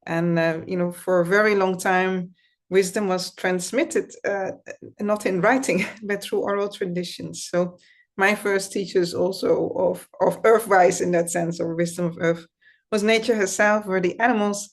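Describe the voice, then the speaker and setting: A middle-aged woman with a Dutch accent, speaking over a video call as an interview guest and talking in a thoughtful, reflective way with soft laughs. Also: medium pitch, steady tone, moderate pace. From Netherlands. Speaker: guest, founder and system scientist. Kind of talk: conversational interview.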